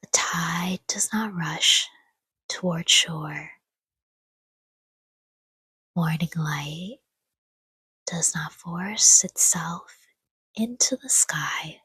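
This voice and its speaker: A woman softly guiding a sleep meditation in a hushed, breathy whisper, with gentle, soothing delivery in short phrases and long pauses. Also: lowish voice, no echo. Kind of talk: guided sleep meditation, scripted, soft-spoken.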